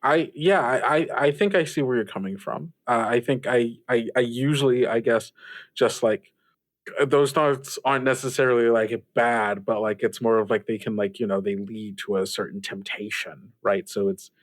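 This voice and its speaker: Courteous, careful, dutiful character, played by a man, average pitch, hedging and hesitant, improvised tabletop roleplay. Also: moderate pace, no room sound, lightly acted. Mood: cautious, uneasy. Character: polite, cautious host, resigned to duty, wary of temptation. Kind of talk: improvised actual-play roleplay dialogue.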